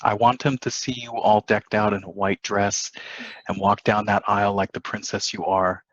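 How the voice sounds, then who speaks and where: Actor as a low-voiced, smitten 1950s husband. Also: male voice, flat delivery, normal speed, a bit bright. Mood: flirtatious. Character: smitten, flirtatious 1950s married man, smooth talker. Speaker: actor at a table reading. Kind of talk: stage play table read, romantic dialogue. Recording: dull lo-fi sound.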